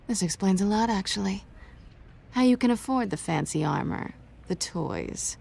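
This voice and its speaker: A woman's voice: mid-range voice, expressive, moderate pace, gravelly, darkish tone, husky, heavily acted. A woman playing a sly, defiant cat burglar in a video game, with a cool, sardonic, teasing delivery. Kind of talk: dramatic video game dialogue.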